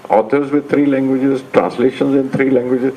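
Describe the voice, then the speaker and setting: A man moderating a book launch panel, speaking in a measured, deliberate way. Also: mid-range voice, fairly even intonation, dark tone, a little hiss or hum, rough recording. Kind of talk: formal public remarks.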